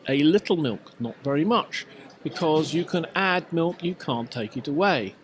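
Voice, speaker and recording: A man's voice: on the high side, expressive, moderate pace, slight background noise. A middle-aged man with an English accent, chatting casually as a podcast host in an emphatic, mock-pompous way. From England. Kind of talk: casual anecdotes and mock-instructional chat.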